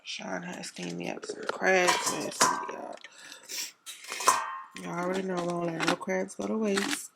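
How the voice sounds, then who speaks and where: Woman, thirties, congested and stuffy, easygoing vlog chat; low fry-edged voice, unhurried delivery; noisy home recording. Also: little pitch movement. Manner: laid-back, rambling with fillers and throat clearing. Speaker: vlogger. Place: home kitchen.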